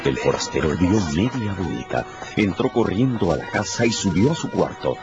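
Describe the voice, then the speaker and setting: A man's voice: low-pitched, sing-song, moderate pace. A man narrating a radio novel in a dramatic, scripted storytelling style. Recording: muffled radio production with music underneath.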